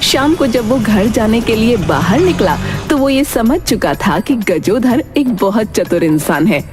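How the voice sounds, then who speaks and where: High-voiced woman narrating a story. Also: big pitch swings, measured pace, over music. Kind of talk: scripted moral story narration.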